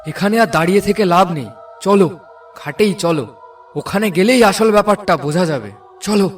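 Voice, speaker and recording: A man's voice: high-pitched, fairly quick, close to an everyday voice. A man voicing a concerned young villager in a cartoon, earnest and urging. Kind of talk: cartoon voice acting. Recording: slightly distant, music behind.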